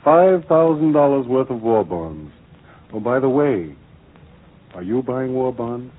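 A man's voice: medium pitch, expressive, a little slow, close to an everyday voice. A man delivering scripted narration in the style of a 1940s radio program. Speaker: narrator of a wartime radio drama. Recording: muffled old 1940s radio broadcast.

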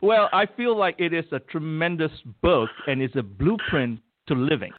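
A man hosting a radio talk show, introducing a guest and interviewing him in a formal, measured way. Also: fairly high pitch, expressive, no room sound. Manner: formal, warmly measured delivery. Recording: tinny phone line.

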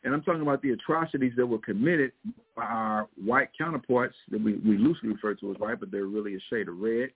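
A man's voice: mid-range voice, quick pace, breathy edge. A man from the American Midwest hosting a show, reading the news and commenting on it in a casual, emphatic way, often speaking straight to his listeners. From Missouri, American Midwest. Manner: conversational, emphatic, keeps addressing listeners directly. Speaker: show host and priest. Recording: tinny phone-like sound.

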